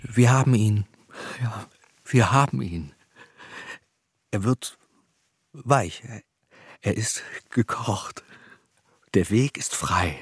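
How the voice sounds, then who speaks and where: An actor playing a cold, ominous man in a radio drama, with a husky, breathy voice. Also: lowish voice, expressive, drawn-out words, pauses often, dry recording, exaggerated voice.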